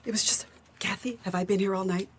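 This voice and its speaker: A woman's voice: medium pitch, steady tone, fast talker, a little hiss or hum, close to an everyday voice. An actress playing a terrified, faltering woman haunted by nightmares in a radio drama. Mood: shaken, relieved.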